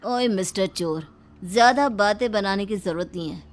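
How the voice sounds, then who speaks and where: A woman's voice: mid-range voice, normal speed, close to an everyday voice. A woman voicing a feisty middle-aged mother-in-law in a story, scolding in an indignant, emphatic way. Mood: bossy, boastful.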